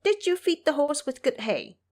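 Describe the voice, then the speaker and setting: A woman's voice: high voice, very animated, normal speed, a little mellow, pitched above the performer's own voice. A woman voicing a boastful, protective male monkey disciple in an audio drama, with a swaggering, emphatic delivery. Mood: stern warning.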